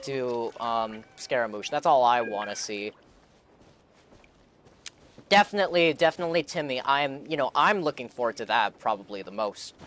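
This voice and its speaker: A man's voice: medium pitch, expressive, normal speed, a bit bright, slight background noise. A young man chatting casually as a game streamer, rambling earnestly with a fan's enthusiasm and repeating his phrases. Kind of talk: casual live-stream chat, game reaction. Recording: game music in the background.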